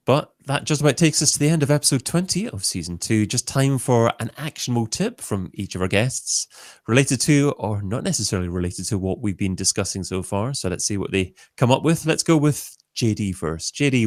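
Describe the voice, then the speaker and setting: A man's voice: fairly low pitch, lively intonation, brisk, a little mellow, no echo, clean studio sound. A man co-hosting a marketing podcast, upbeat and playful as he moves the show along. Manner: upbeat, playful sign-offs. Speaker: co-host.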